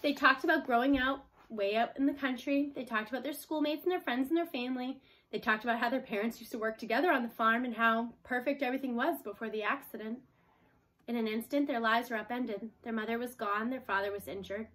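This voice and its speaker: A woman with a fairly high voice reads a children's book aloud. She narrates the scripted story as a storybook reader would for young listeners. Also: a little fast, a bit bright, low-quality recording.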